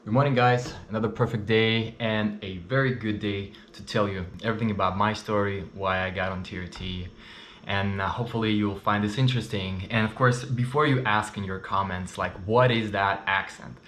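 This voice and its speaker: Vlogger, thirties man, Russian-Ukrainian accent, lowish voice, candid and chatty, echoey room. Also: fairly even intonation, moderate pace, fairly bright tone, slight background noise. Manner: candid, chatty, frequent fillers. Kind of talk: casual personal story to camera. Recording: roomy echo.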